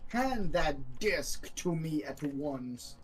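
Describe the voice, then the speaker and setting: A man's voice: fairly high pitch, very animated, takes it slowly, faint music behind, low-quality recording. A man voicing a haughty, indignant upper-class snob who splutters imperiously, in a fan dub of a video game. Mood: outraged, commanding. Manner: imperious, spluttering, stammering with outrage.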